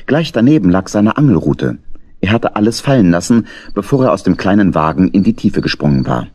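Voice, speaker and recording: Deep, husky male storyteller. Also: very animated, brisk, a little mellow, no room sound, studio quality. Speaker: narrator. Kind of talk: dramatic scripted children's story narration.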